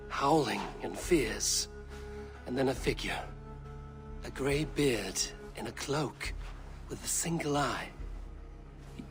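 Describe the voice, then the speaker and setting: An actor playing a guarded, blunt Viking raider, speaking firmly and tersely in a game cutscene. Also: male voice, mid-range voice, lightly acted. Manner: firm, terse, plain-spoken. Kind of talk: video game cutscene acting.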